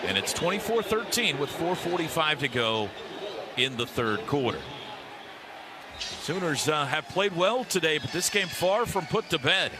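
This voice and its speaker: A man's voice: on the high side, sing-song, measured pace. An American man calling live football play-by-play for radio in clipped, punchy phrases. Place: outdoor football stadium. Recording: clearly noisy outdoor stadium broadcast.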